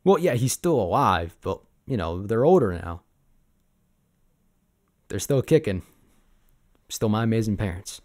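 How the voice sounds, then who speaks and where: A man playing an easygoing, sentimental sabertooth boyfriend in an ASMR roleplay, reminiscing in a casual, gently hesitant way. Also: fairly low pitch, big pitch swings, unhurried, long pauses. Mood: fond, nostalgic.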